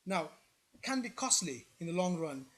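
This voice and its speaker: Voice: mid-range voice, sing-song, moderate pace, a bit bright. An online presenter giving informal explanatory commentary in an opinionated, emphatic manner, often checking in with the listener.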